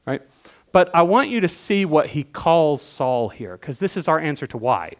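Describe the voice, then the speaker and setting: A man giving a sermon as worship leader, teaching in a conversational way, asking questions and swinging between emphatic bursts and quiet asides. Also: fairly high pitch, sing-song, moderate pace.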